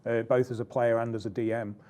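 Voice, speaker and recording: Male interviewer, mellow tone, hesitant and full of ums. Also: mid-range voice, little pitch movement, quick pace. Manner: hesitant, many ums, agreeable. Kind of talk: hosted interview questions.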